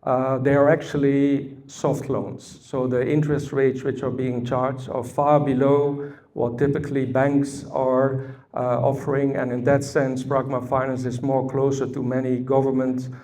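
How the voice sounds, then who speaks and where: A Belgian man giving a conference workshop talk, speaking deliberately with frequent fillers and storytelling asides. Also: medium pitch, level tone, mellow. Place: conference hall.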